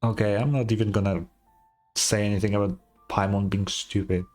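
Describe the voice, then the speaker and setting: A man giving casual live commentary as a streamer reacting to a video game, with a husky voice. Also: lowish voice, little pitch movement, fairly quick, a little mellow, faint music behind.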